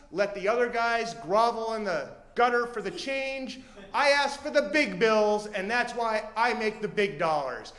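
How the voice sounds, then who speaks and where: Casting: male storyteller as boastful, eccentric street hustler, emphatic pitchman delivery, raised voice, echoey room. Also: a bit bright. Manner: emphatic, self-assured salesman-like declaiming. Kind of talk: acted-out character in live storytelling.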